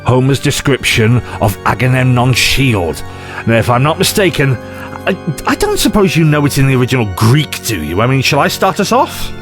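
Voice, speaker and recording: Man playing an animated, rambling eccentric adventurer. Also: mid-range voice, normal speed, music in the background, close to an everyday voice. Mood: excited, rambling. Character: eccentric, excitable, rambling male time-travelling adventurer. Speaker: lead actor in an audio drama. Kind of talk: audio drama acting.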